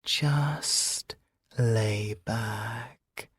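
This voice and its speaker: A British man voicing a possessive, doting siren lover in an intimate ASMR roleplay, breathy and seductive with lingering pauses. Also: mid-range voice, dry recording, studio quality. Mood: soothing, hushed. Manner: slow, breathy, seductive crooning with drawn-out pauses.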